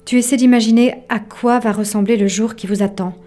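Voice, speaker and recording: A woman narrating a literary audio drama in an intimate, measured way, with a soft voice. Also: on the high side, steady tone, close to an everyday voice. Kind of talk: literary second-person narration in audio fiction.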